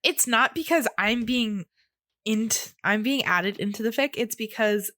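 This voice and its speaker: A woman's voice: a little high, measured pace, sharp tone, no room sound. A woman co-hosting a podcast with casual, playful and teasing banter. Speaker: podcast co-host.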